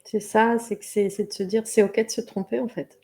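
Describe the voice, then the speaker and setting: A woman's voice: mid-range voice, flat delivery, fairly quick, dark tone. A woman guest on a video call, chatting casually and animatedly, often restarting her sentences. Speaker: guest expert. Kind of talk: casual conversation.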